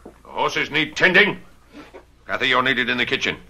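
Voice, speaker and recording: An actor playing a brooding, bitter, tyrannical master of a gothic house in a radio drama, menacing and commanding. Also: male voice, mid-range voice, sing-song, moderate pace, sharp tone, heavily acted. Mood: angry, menacing.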